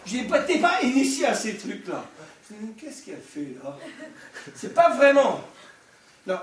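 Older preacher, high voice, echoey hall. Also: male voice, a little hiss or hum. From Scotland. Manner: humorous, animated preaching with asides. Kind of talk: sermon. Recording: echoey hall, distant mic.

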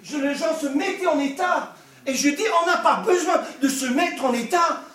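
An older man from Scotland, preaching a humorous, animated sermon with asides. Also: very high-pitched, normal speed. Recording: echoey hall, distant mic.

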